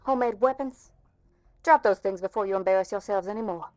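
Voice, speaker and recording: A woman's voice: medium pitch, fairly quick, darkish tone, no echo, lightly acted. Woman acting a stern, bitter policewoman, dry sound. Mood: bitter, scolding. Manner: cutting, sarcastic. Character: stern, bitter policewoman confronting an old friend. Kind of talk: amateur fan-dub game drama acting.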